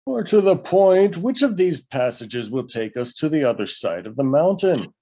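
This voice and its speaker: A man playing a weary, commanding old wizard in a fantasy script read, speaking solemnly and with pauses. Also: fairly high pitch, big pitch swings, warm tone, highs cut off.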